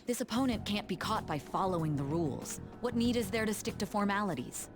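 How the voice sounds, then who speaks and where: A woman's voice: medium pitch, lively intonation, a little fast, pitched above the performer's own voice, lightly acted. A woman voicing a stern, ambitious female prosecutor who speaks in a clipped, determined way. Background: game music.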